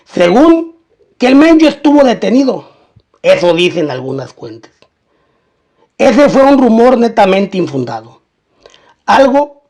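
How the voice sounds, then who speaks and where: A man's voice: high-pitched, a little mellow. A man narrating a scripted documentary-style crime story, mixing in colloquial slang as he tells it.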